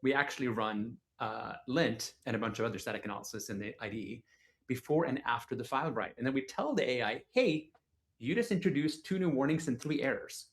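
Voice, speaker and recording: Man from Norway, podcast guest, easygoing explainer, medium pitch, filler words. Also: a little fast. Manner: relaxed explaining with many fillers. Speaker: guest engineer. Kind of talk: casual tech podcast chat.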